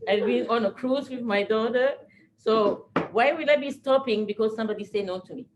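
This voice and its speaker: A woman's voice: fairly high pitch, fairly quick, dull audio. Earnest woman motivational speaker, noisy room. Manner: earnest, repeats phrases for emphasis. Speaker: presenter at a business team training. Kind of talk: motivational talk to a group.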